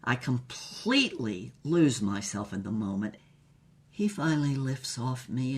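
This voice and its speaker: A performer playing a bold, sultry female spy and film star, telling her exploits in dramatic first-person narration. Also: medium pitch.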